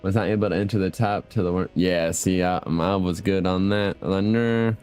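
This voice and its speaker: A low male voice gives loose, casual gameplay commentary. He mutters profane asides at the game, with music playing behind him. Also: steady tone, normal speed, darkish tone, smooth. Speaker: game streamer.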